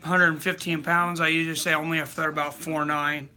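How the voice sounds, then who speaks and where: A man's voice: a little high, flat delivery, a little fast, sharp tone. A man hosting a live fight companion stream, chatting casually and reading viewer comments aloud.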